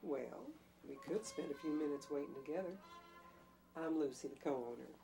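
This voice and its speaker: A breezy, worldly dance-hall owner played by an actress in an audio drama. Her voice sits fairly low, and she coaxes in casual, clipped phrases over faint music. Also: normal speed, creaky, warm tone. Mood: coaxing, breezy. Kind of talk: dramatic dialogue in an audio play or table reading.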